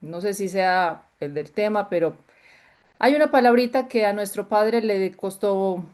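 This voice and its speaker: A woman's voice: medium pitch, expressive, a little slow, a little mellow. A woman taking part in a lecture, asking a question in a courteous, roundabout, spontaneous way.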